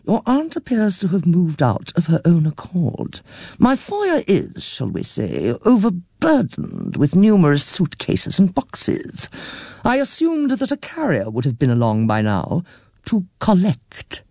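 A man voicing a formal, courteous gentleman who speaks stiffly in a storybook reading. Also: sits high, huge pitch swings, unhurried, vocal fry, slightly rough, no room sound, clean studio sound, voice pushed up, heavily acted.

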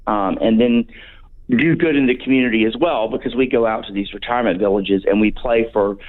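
Brief: Southern American man, sixties, halting friendly guest, tinny phone-line sound. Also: lowish voice. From North Carolina, USA. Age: 60s. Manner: hesitant, many ums and restarts. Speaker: radio guest, brass band member. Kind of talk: casual radio interview.

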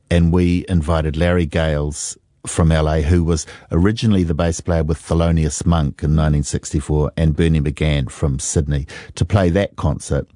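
A man's voice: deep voice, warm tone, no room sound, clean studio sound. A middle-aged New Zealand man, a musician, telling stories reflectively and unhurriedly in an interview, with many fillers. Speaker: musician guest. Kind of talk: relaxed interview storytelling.

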